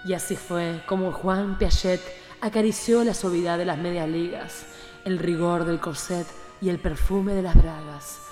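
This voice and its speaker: A woman playing a dramatic narrator of a camp radio play, in measured, florid storytelling. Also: lowish voice, fairly even intonation, warm tone, reverberant, long reverb tail, faint music behind, lightly acted. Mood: solemn, sensual. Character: dramatic female narrator of a camp erotic tale.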